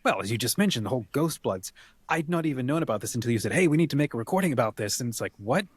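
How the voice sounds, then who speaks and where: A man's voice: medium pitch, expressive, rapid-fire, husky, no room sound. A man co-hosting an enthusiastic, casual chat about books, emphatic and full of superlatives. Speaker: co-host.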